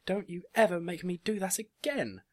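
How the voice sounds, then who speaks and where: A woman's voice: on the low side, very animated, slow, a bit bright, breathy edge, voice pushed up, exaggerated voice. A man voicing an emotional, indignant young girl in a story. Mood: indignant, tearful. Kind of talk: audiobook character dialogue.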